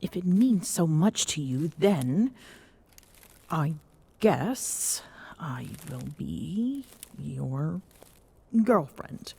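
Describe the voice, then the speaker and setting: Slow, husky Amazonian queen, played by a woman. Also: low-pitched, sing-song, warm tone, heavily acted. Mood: grudging, soft. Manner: halting, hesitant, formal regal phrasing. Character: tall proud Amazonian queen, smitten, flustered, imperious yet tender. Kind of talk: intimate ASMR audio roleplay.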